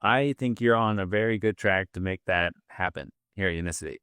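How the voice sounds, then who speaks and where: A man interviews a guest with upbeat, encouraging energy. His voice is fairly low and smooth. The recording is dry and close. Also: brisk, fairly bright tone, dry recording. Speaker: interviewer, host.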